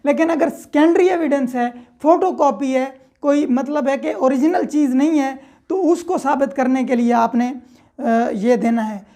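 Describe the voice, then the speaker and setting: A woman's voice: high voice, measured pace, warm tone. A woman with a Pakistani accent giving an explanatory law lecture, repeating and rephrasing her points in a didactic way. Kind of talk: explanatory lecture mixing Urdu and English legal terms.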